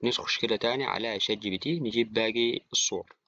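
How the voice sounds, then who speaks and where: A man with an Egyptian accent giving an informal software tutorial, talking to the viewer like a friend. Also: on the low side, fast, dry recording. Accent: Egyptian Arabic. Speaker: tutorial presenter. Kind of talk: casual screen-recorded software tutorial. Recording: dry, slightly dull, with clicking.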